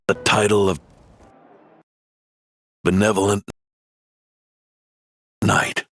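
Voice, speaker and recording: Deep, gravelly old grandfather knight. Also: flat delivery, heavily acted. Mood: weak, tender. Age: older. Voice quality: gravelly, breathy, weakened. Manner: slow, gentle, reassuring. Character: frail, kindly old grandfather knight, gentle and protective. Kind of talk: video game voice acting. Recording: faint music behind the voice.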